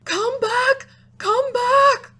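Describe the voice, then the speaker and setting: A woman's voice: very high voice, steady tone, very slow, bright, forceful tone, pitched above the performer's own voice, heavily acted. A woman voicing a wheezy, wailing camel. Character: fretful, sentimental male camel.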